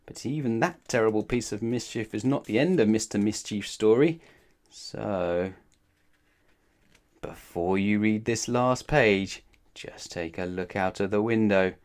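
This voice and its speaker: A man's voice: on the low side, unhurried, darkish tone. A man reading a children's story aloud as narrator, expressively and with dramatic emphasis. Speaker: storybook reader.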